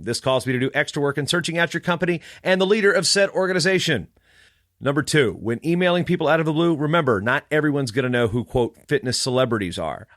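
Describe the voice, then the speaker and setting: Male podcaster, mid-pitched, snarky and emphatic casual delivery, very dry sound. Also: a bit bright, dry recording. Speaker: wrestling podcaster. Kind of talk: casual storytelling rant with read-out email.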